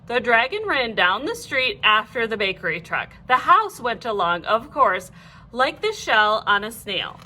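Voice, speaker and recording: A woman reading a children's storybook aloud like a teacher, in an animated, clearly phrased way. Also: fairly high pitch, a little slow, a little hiss or hum.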